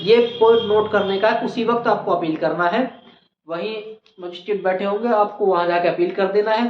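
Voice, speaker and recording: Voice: medium pitch, fairly even intonation, fairly quick. A channel host giving an explainer on exam procedure, repeating key points for emphasis. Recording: echoey room, dull lo-fi, faint noise.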